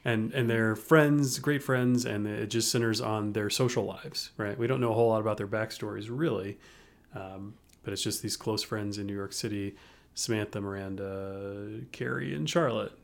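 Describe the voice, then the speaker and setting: A man's voice: lowish voice, normal speed, vocal fry. A man co-hosting a casual podcast, easygoing and dry. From United States. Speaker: podcast co-host. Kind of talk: casual chat.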